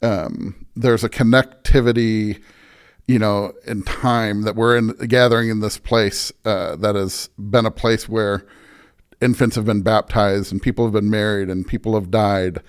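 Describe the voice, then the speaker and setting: A man's voice: on the low side, fairly even intonation, a little slow, clean tone. An American man talking as a pastor in a conversational interview, earnest and emphatic, often checking in with "right?" and "you know". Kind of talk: conversational podcast interview, explaining beliefs.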